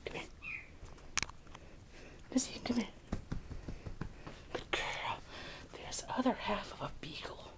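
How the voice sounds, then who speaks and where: A woman filming her pet dog, cooing to it in soft breathy whispers and high sing-song baby talk. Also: animated, slow, long pauses.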